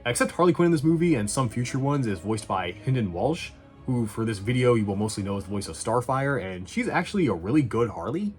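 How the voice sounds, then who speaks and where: A man's voice: medium pitch, expressive, fast talker. A man giving a casual scripted review commentary, with sarcastic dry humour and some swearing. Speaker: video game movie reviewer. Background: faint music.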